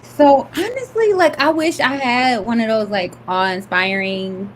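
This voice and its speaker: A young woman with a high, light voice talks in a relaxed, giggly way, filling her sentences with "like" and "um." A faint hiss runs under the recording. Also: measured pace, poor audio. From Detroit. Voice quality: high-pitched voice. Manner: laid-back, giggly, lots of "like" and "um". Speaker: author, podcast guest. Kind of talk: casual chat.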